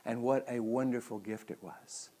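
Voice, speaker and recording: A man's voice: medium pitch, steady tone, normal speed, mellow. A middle-aged man giving a motivational talk as a host, with earnest, emphatic phrasing. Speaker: psychologist and speaker hosting a weekly show.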